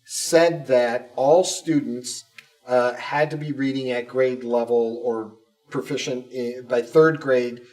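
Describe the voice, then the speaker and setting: A man's voice: medium pitch, steady tone, slow pace, darkish tone, recorded from a distance, low-quality recording. A man co-hosting a conversational interview show and putting questions to guests. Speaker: podcast co-host, school communications director.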